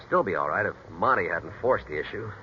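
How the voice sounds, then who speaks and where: An actor playing an opportunistic, self-justifying business partner, blustery and hedging, in a 1940s radio drama. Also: male voice, low-pitched, lively intonation, a little fast. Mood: defensive. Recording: dull, noisy 1940s radio recording.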